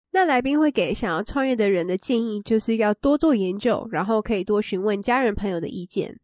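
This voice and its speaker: Higher-pitched Taiwanese-accented woman explaining, dry studio sound. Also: darkish tone, no echo. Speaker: show host and explainer. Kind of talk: explanatory narration for language learners.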